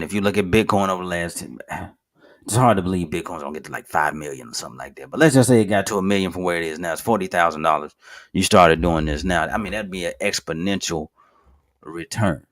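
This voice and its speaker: A man's voice: low voice, brisk, a bit bright. A man in his thirties hosting a casual finance video, rambling with fillers, restarts and self-corrections, talking to viewers as "guys". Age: 30s. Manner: informal, addresses viewers as "guys". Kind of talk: casual screen-share commentary.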